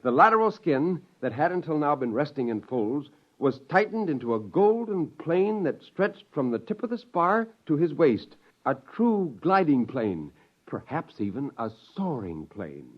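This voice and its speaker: Casting: middle-aged American scientist, eccentric and theatrical, highly animated delivery, dull vintage radio sound. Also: male voice, measured pace. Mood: narrating, excited. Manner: theatrical, chuckling, self-amused. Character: eccentric, gleeful scientist plotting a joke on mankind. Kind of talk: 1950s radio drama acting with first-person narration. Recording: dull, lo-fi 1950s radio recording.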